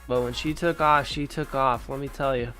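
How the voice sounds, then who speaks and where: A man's voice: mid-range voice, steady tone, normal speed, pushed and bright, soft background music. A young man hosting a live stream in casual, slangy chat, sounding stuffed-up and nasal from allergies. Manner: laid-back slangy chatter. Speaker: livestream host.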